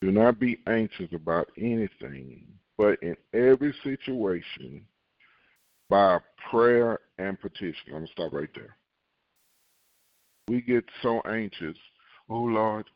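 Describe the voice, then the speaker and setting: A very deep-voiced man preaches a sermon, reading scripture and slowing down to repeat his key lines. He acts out fretful believers in a higher, louder voice. The recording is dry and a little dull. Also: no room sound. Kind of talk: podcast sermon.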